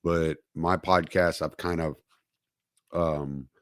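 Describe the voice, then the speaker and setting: Hesitant low-voiced man hosting a livestream. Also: fairly even intonation, slow pace, no room sound, studio quality. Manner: hesitant, many fillers and restarts. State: recovering from dental work. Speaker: podcast host. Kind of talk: solo livestream talk.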